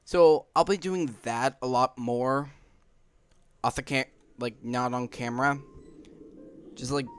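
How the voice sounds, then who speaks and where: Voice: mid-range voice, slow pace, long pauses, clean tone, dry recording. A gaming video host giving casual, informal, explanatory commentary while playing. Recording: game music behind the voice. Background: video game music.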